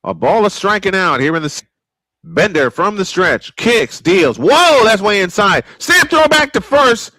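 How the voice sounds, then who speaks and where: Grandfatherly man calling baseball, bright voice. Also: sits high, unhurried, dry recording, low-quality recording. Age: older. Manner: old-school broadcast style, rising excitement on big plays. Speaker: play-by-play announcer. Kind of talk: baseball play-by-play commentary.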